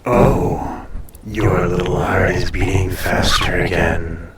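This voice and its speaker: A man playing an ancient dragon familiar in an intimate roleplay audio, tender, possessive and teasing, soothing and often dropping to a breathy murmur. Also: deep voice, steady tone, slow, reverberant, distant mic, a loud crowd. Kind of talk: intimate roleplay audio drama, personal attention.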